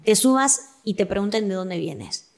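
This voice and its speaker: Young woman, Argentine accent, animated storyteller, acts out dialogue, casual podcast guest. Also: medium pitch, normal speed, gravelly. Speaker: actress and comedian guest. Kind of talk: casual interview chat.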